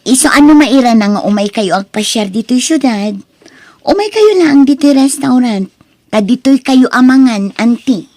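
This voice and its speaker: A woman with an Ilocano Filipino accent playing a gracious, forgiving young niece in an audio drama, speaking gently and earnestly. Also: on the high side, normal speed, no room sound, natural voice. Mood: hesitant.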